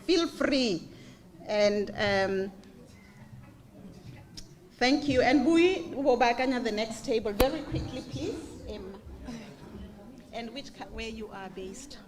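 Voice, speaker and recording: Woman running intros at an event, quick polite instructions, a bit high voiced. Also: animated. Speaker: event host.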